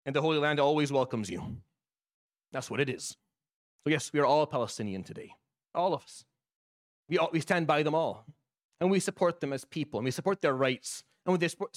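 A man's voice: fairly high pitch, no echo, clean studio sound. A man delivering a Friday sermon as imam, impassioned and repeating his points. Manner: impassioned, repetitive.

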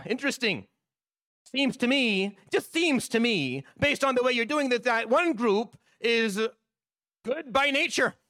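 A man's voice: very high-pitched, pushed and bright, dry recording. A man delivering a Friday sermon as imam, impassioned and repeating his points. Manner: impassioned, repetitive.

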